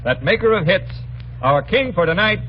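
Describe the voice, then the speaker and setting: Male radio announcer, animated pitch, grandiose courtly phrasing, 1940s variety banter, muffled old recording. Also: normal speed, a little hiss or hum. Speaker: radio show host and announcer.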